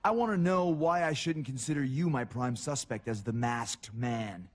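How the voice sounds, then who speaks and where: A man's voice: mid-range voice, normal speed, lightly acted. A man playing a suspicious, confrontational pro wrestler in a video game cutscene.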